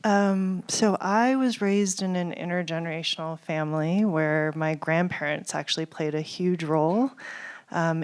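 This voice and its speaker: Measured woman addressing a panel audience. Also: fairly low pitch. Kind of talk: panel talk. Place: festival panel stage.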